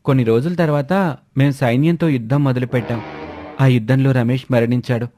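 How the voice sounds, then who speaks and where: A man's voice: medium pitch, steady tone, a little fast, mellow, soft background music, natural voice. Man playing a good-natured young soldier. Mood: recounting.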